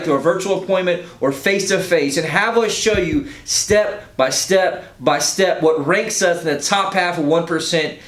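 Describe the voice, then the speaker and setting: A man working as a real estate agent makes an informal, emphatic and persuasive sales pitch, often checking in with a rhetorical "right?". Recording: roomy echo, faint low rumble.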